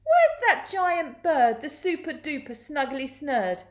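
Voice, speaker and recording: Lanky male crook for a storybook, played by a woman: greedy, grumbling, animated, high. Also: slow, reverberant, heavily acted. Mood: impatient.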